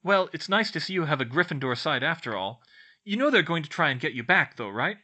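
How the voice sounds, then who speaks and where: Wanted: man to voice an astonished, blunt storybook schoolboy, bright and high, big exclamations. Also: sing-song, a little fast, heavily acted. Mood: impressed. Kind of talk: audiobook character voice.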